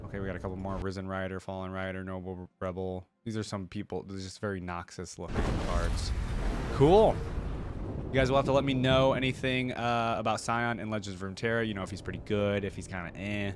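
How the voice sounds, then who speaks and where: Lowish-voiced man reacting casually. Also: fairly even intonation, a little fast, background noise. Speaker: reaction video host.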